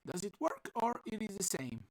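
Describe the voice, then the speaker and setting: A man with a rough, husky voice teaching a live online class, talking haltingly as he works on his computer audio. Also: sits high, wildly swooping pitch, no echo. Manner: halting, restarts while fixing audio.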